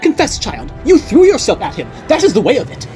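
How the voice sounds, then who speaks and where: A woman voicing an unhinged, jealous middle-aged noblewoman who rants and accuses in a shrill voice. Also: huge pitch swings, brisk, warm tone, faint music behind. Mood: accusing. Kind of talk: audiobook character voice.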